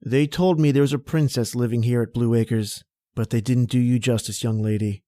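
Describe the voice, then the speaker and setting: A man's voice: medium pitch, steady tone, normal speed, mellow, dry recording, close to an everyday voice. A man playing a charming, bold young suitor in an old-time radio drama, talking in a gallant, flowery way. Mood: charming, eager.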